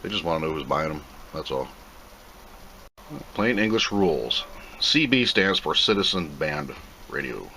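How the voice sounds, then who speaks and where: A man's voice: a bass voice, big pitch swings, a little slow, pauses often, sharp tone, rough recording. A man giving a casual, plain-spoken unboxing commentary, rambling and thinking aloud as he goes. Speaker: video host reviewing a product. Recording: clear background noise.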